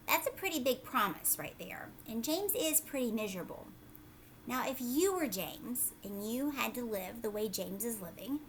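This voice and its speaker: High-voiced woman teacher reading aloud. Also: slight background noise. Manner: expressive, questioning, conversational. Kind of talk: children's read-aloud with questions.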